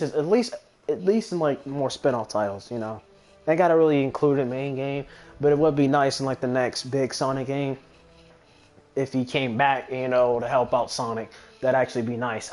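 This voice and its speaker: A man's voice: mid-range voice, a little mellow. A man giving casual, rambling game commentary, thinking aloud and often correcting himself mid-thought. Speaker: gaming video host.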